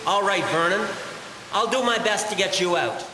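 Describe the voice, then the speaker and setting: A man's voice: high-pitched, normal speed, close to an everyday voice. An actor playing an urgent, kindly man coming to the rescue in an audio drama, speaking with reassurance. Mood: urgent, reassuring. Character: urgent, kindly male passer-by rescuing a boy. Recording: echoey reverb with clear hiss.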